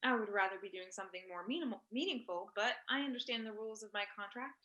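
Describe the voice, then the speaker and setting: A woman's voice: mid-range voice, quick pace, bright, forceful tone, muffled recording, close to an everyday voice. A woman in a staged play reading, playing a prickly, blunt academic author who talks in terse, disdainful questions. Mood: cool, hostile. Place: indoors on a Zoom call.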